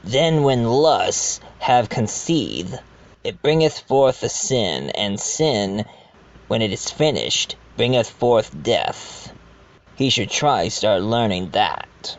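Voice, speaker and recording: A man's voice: medium pitch, very animated, slow pace. A man playing a murdered doctor's ghost who wryly narrates an amateur mystery audio drama, in a dull recording. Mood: reproachful.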